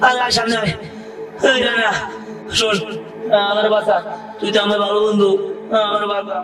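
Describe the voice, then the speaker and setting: A man's voice: sits high, level tone, a little fast, voice pushed down, natural voice. A man playing a young man who has been turned into a monster and pleads for help in a panicky way. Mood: coaxing. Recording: echoey, from far off the mic.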